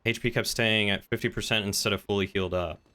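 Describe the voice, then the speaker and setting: Male streamer, deeper register, clear tone. Casual, self-directed game chatter. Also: fairly even intonation, quick pace, pushed and bright.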